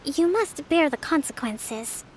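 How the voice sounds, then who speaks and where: A woman voicing a composed young priestess leader in a video game, formal, gentle and firm. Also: sits high, animated, slight background noise. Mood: firm, stern. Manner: formal, measured. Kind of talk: video game character acting.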